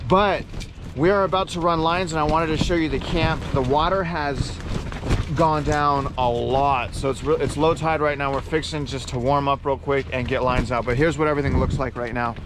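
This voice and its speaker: A man's voice: a little high, steady tone, normal speed, noisy background. A man in his thirties chatting casually to camera outdoors in a laid-back, slangy way. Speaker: fishing vlogger. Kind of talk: casual outdoor vlog chat. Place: open windy beach, sometimes inside a canopy tent.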